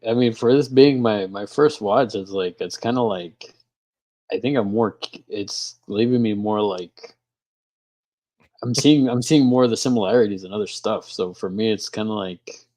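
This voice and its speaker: A man's voice: on the low side, normal speed, dark tone, no echo. A man from Texas, a guest on a film commentary, talking hesitantly with many fillers and restarts. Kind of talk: casual film commentary chat.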